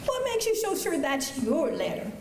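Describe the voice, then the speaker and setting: Woman playing a coy, high-voiced frontier flirt, echoey. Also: wildly swooping pitch, normal speed, gravelly, darkish tone, heavily acted. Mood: coyly defiant. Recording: echoey auditorium with slight noise.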